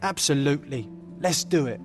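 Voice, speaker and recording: A man's voice: a little high, animated, moderate pace, lightly acted. A man voicing an eager young companion. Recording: music behind.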